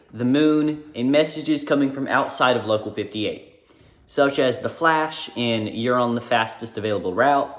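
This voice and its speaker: A man narrating a casual video essay, informal and correcting himself now and then. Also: medium pitch. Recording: roomy echo.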